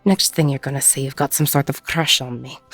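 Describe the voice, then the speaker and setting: A woman playing a weary, gruff, middle-aged ex-con bartender, husky and breathy, blunt and self-deprecating, in an intimate audio roleplay. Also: a bass voice, fairly even intonation, moderate pace, fairly bright tone, no echo, soft background music, exaggerated voice. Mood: wry, teasing.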